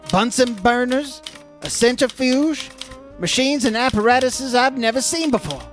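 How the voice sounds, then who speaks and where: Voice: mid-range voice, natural voice. An actor playing an unflappable insurance investigator, narrating a case in old radio detective style, wry and matter-of-fact. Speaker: narrator and lead character.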